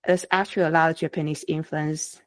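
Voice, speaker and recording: A woman's voice: deep voice, little pitch movement, fairly quick. A woman from Taiwan, hosting a podcast interview in a chatty way with loose phrasing. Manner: chatty, loose phrasing with many "you know"s. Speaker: podcast host. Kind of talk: casual interview.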